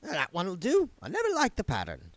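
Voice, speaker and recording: A man's voice: sits high, wildly swooping pitch, brisk, fairly bright tone, no echo, voice pushed up, full character voice. A man voicing a stubborn, no-nonsense woman medium in a storybook reading. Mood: brisk.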